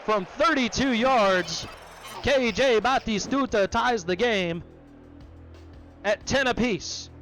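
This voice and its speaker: A man calling play-by-play for a simulated football game, brisk and excitable, joking about his own voice. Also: very high-pitched, faint music behind. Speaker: play-by-play commentator. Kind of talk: play-by-play sports commentary.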